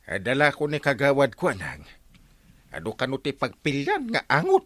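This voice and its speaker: An excitable village captain in an Ilocano radio comedy. The Filipino voice swoops widely, flattering and wheedling like someone forever short of money. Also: male voice, medium pitch, normal speed, no echo. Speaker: radio drama actor. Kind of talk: broad radio comedy acting.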